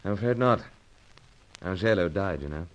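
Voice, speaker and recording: An actor playing a suave secret agent hero in an old-time radio adventure serial, earnest and dramatic. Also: male voice, low-pitched, animated, moderate pace, low-quality recording. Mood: grave, concerned.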